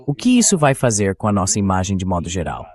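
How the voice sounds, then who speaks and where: Lively low synthetic male host voice. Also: fast talker. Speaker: interview host. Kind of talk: translated interview voice-over, posing questions.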